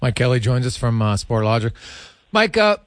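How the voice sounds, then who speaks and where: A man hosting a casual sports interview, asking informal, rambling questions in a halting way. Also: mid-range voice, big pitch swings, no echo, clean studio sound. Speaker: sports radio host, interviewer.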